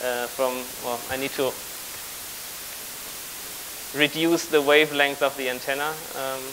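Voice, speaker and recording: Bright-voiced man giving a halting tech demo. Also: medium pitch, steady tone, a little hiss or hum, low-quality recording. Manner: halting, improvising while working at a laptop. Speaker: conference speaker. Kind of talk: technical talk with live demonstration. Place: large auditorium on stage with audience.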